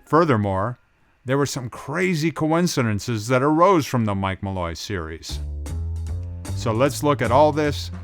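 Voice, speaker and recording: A man's voice: medium pitch, lively intonation, a little slow, no room sound, soft background music. A man hosting a scripted video essay in a conversational style, as a film commentator.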